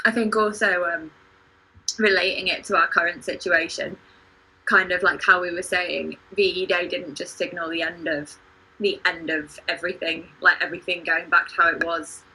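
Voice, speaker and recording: A woman speaks in a casual group discussion. Her voice sits a little low for a woman and is strikingly bright, with a husky, breathy edge. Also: fairly even intonation, normal speed, poor audio. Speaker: theatre company performer leading discussion.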